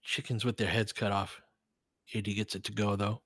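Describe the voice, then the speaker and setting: A man's voice: on the low side, flat delivery, normal speed, fairly bright tone, slightly rough, no room sound, clean studio sound. A man giving informal live-stream play-by-play and commentary, thinking aloud as he chats with listeners.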